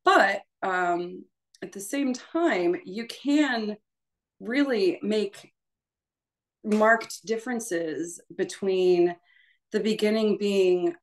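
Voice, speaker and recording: A woman voice teacher leading an informal prep session, explaining things in a halting, conversational and encouraging way. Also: mid-range voice. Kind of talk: informal spoken lesson.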